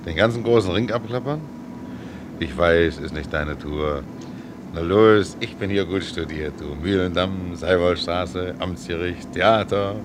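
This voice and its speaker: An actor playing a good-humored, gallant tram driver in a radio play, joking in a familiar way. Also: male voice, deep voice, unhurried, noisy background. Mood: gallant, easygoing.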